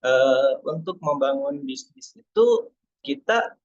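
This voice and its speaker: A man's voice: mid-range voice, animated, takes it slowly, no echo, highs cut off. A man from Indonesia, speaking casually on a webinar with many hesitations and fillers.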